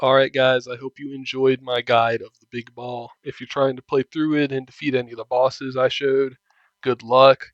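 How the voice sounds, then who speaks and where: A man's voice: medium pitch, level tone, normal speed, no room sound. A man giving casual video game walkthrough commentary in a plain-spoken, informal way. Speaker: video game guide maker. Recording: dull, muffled lo-fi sound.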